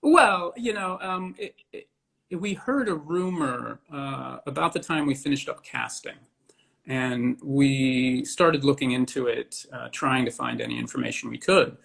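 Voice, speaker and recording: A man giving casual interview answers as a theatre director, halting and deliberate in short phrases. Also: medium pitch, creaky, a bit bright.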